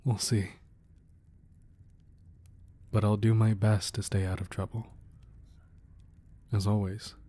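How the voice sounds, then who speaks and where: Casting: man to play romantic mob boss husband for ASMR audio drama; slow, low, hushed, protective, quietly menacing. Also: flat delivery. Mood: wry, affectionate. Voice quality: soft, breathy, hushed voice. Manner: slow, deliberate, tender murmuring with pauses. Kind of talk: intimate ASMR roleplay acting.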